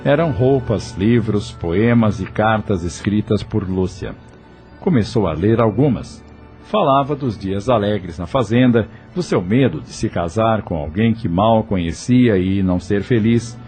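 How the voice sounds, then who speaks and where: Low-voiced man narrating an audio novel. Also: measured pace, a little mellow. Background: background music.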